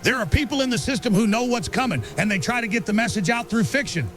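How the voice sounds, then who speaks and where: A man's voice: extremely high pitch, animated, fast talker, a bit bright, soft background music. A man acting an earnest, insistent conspiracy researcher being interviewed as a guest in an audio drama. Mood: conspiratorial.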